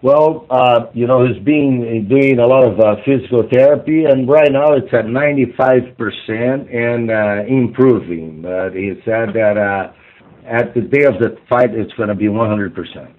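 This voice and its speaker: A man interpreting live on a phone conference call, speaking in halting, repetitive phrases padded with fillers. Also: medium pitch. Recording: muffled narrow-band phone line.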